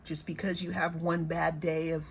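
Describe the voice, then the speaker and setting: A woman giving a reflective spiritual card reading, her voice hoarse, talking in unhurried, circling phrases that often repeat words. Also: low-pitched, level tone, slight background noise. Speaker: tarot and oracle card reader.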